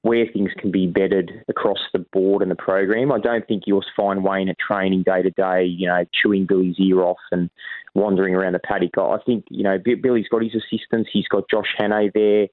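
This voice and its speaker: An Australian man in a casual sports chat, talking at length and often restarting his sentences. His voice sits a bit low. It comes through a dry, narrow, phone-like line. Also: level tone, fairly quick, no echo. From New South Wales, Australia. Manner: talkative, many restarts and self-corrections. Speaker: rugby league journalist guest. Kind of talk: casual podcast interview.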